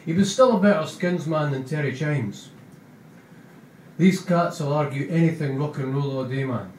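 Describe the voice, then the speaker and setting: A man's voice: on the high side, moderate pace, a little mellow, a little hiss or hum, close to an everyday voice. A man voicing an eager, chatty young male drummer with a Scottish accent, rambling earnestly. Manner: earnest, rambling rock trivia. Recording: roomy, distant mic.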